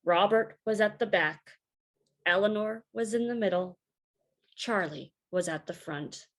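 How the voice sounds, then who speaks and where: A woman reading aloud a story for children in a deliberate, expressive teacherly way. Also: medium pitch.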